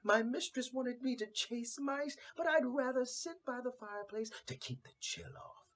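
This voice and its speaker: A man voicing a sad, hesitant old female cat in a storybook tale, with a slow, mournful, sighing delivery. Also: very high-pitched, fairly bright tone, voice pushed up. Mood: mournful, weary.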